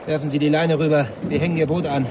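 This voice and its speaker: An actor in a German radio play playing a stern, worried father, brisk and scolding. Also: on the high side, level tone, close to an everyday voice. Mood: commanding. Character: stern, worried father taking charge at sea. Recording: wind and water noise, off mic.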